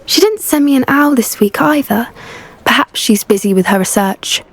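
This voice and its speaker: A woman voicing a gentle, earnest teenage witch in a video game, speaking softly and politely. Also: on the high side, animated, moderate pace.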